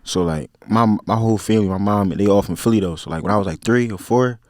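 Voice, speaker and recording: A man's voice: fairly low pitch, quick pace, darkish tone, no room sound, clean studio sound. Laid-back young man with a philly accent. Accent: Philadelphia. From Philadelphia, USA. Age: 20s. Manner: laid-back, slang-heavy, rambling with many fillers. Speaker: podcast guest. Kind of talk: casual interview chat.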